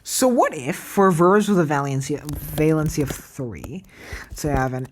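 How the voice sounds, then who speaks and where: Rambling woman thinking aloud, low voice. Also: expressive, moderate pace, a little mellow, a little hiss or hum. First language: Cantonese. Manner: rambling, self-questioning, many fillers. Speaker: language creator. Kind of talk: thinking aloud while screen-recording.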